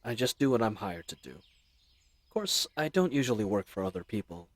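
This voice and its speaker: A man's voice: lowish voice, sing-song, a little fast, dry recording. A man playing a chatty, roguish bandit leader in an audio roleplay, with folksy slang and teasing mock courtesy. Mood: chatty, matter-of-fact. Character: roguish, chatty, friendly male bandit leader, mockingly polite. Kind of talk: scripted audio roleplay addressed to the listener.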